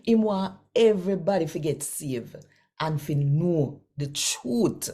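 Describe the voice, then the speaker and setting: A woman with a Jamaican accent reads scripture conversationally as host, speaking deliberately and directly to her listeners. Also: low voice, animated, mellow.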